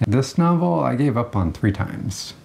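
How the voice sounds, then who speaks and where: A man co-hosting a casual book review, speaking in a measured, matter-of-fact way. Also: mid-range voice, lively intonation, vocal fry, a little mellow. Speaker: co-host of a book review show.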